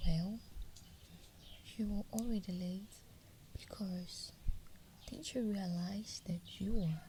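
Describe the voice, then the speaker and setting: Woman whispering as a creepy possessive forest witch lady, slow and gravelly. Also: medium pitch, mellow, slight background noise.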